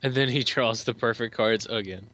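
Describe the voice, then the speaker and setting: A man's voice: medium pitch, normal speed, a bit bright, dry recording. A man co-hosting a casual fan movie commentary, joking crudely and swearing freely. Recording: dry, dull lo-fi home mic.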